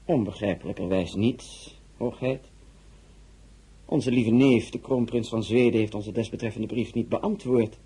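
An actor playing a suave, imperious tsar in a radio drama, speaking formally and in measured phrases with a husky voice. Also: male voice, lowish voice, steady tone, dark tone. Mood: probing, puzzled. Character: suave, imperious Tsar of Russia, courtly and probing.

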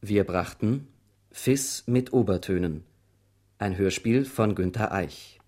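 A man's voice: fairly low pitch, slow pace, darkish tone. A man working as a radio announcer, formally reading out scripted credits.

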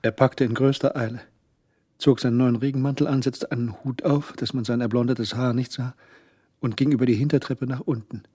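An actor narrating a radio play, voicing a schemer's private thoughts in a dry, sardonic manner. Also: male voice, mid-range voice, steady tone, fairly quick, mellow, husky, lightly acted. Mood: calculating.

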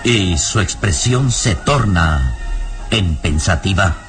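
A man narrating a Spanish-language radio drama in a deliberate, theatrical scripted style. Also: sits low, expressive, music in the background.

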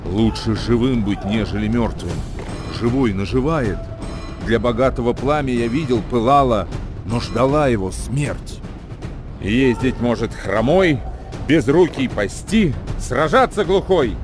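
A man performing a solemn, measured dramatic recitation of old proverbs as a radio actor. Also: mid-range voice, expressive. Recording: music behind the voice. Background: music.

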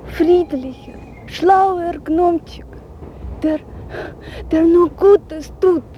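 Dreamy young russian woman, high voice, really slow halting talk, russian accent, radio play. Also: lo-fi sound, slight background noise, full character voice. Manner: slow, simple halting phrasing. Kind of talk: radio drama acting.

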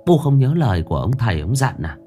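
A man voicing an anxious young woman, the mistress's daughter, talking quickly and fearfully. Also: mid-range voice, very animated, mellow, soft background music, close to an everyday voice. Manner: quick, frightened.

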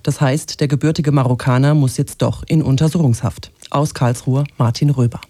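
A man's voice: mid-range voice, fairly even intonation, normal speed, dark tone, no echo. A man with a standard German accent from Germany, presenting scripted radio news.

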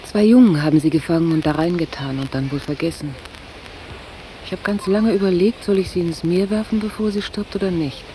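Actress as subdued, melancholic young-sounding Anne, noisy tape. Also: female voice, on the low side, fairly quick, warm tone, lightly acted. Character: Anne, melancholic, self-doubting German woman travelling abroad. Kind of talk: naturalistic radio drama acting. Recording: hissy, noisy cassette copy of radio play.

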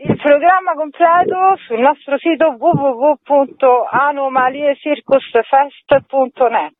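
A woman's voice: high voice, a little slow, dry recording. Radio guest: woman organizer on a narrow phone line, dry sound, keen and emphatic promotional talk. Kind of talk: promotional radio interview, semi-spontaneous presentation. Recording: phone-like, narrow-band radio interview audio.

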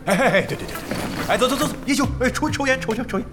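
A man's voice: very high-pitched, animated, racing. A man playing a brash, boastful veteran gamer in a radio drama, blustering, theatrical and crude. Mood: flustered. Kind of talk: Chinese radio drama acting. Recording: music behind the voice.

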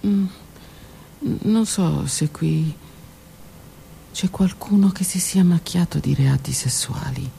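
A woman performing a theatrical one-woman monologue, delivered dramatically, moving between loud outbursts and hushed whispers. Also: fairly low pitch, slow pace, vocal fry, long pauses, slight background noise. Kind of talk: theatrical monologue broadcast on radio.

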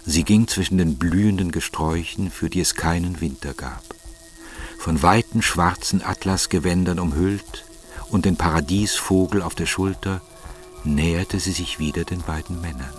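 A man's voice: deep voice, normal speed, faint music behind. A man narrating a radio drama in a scripted, literary style.

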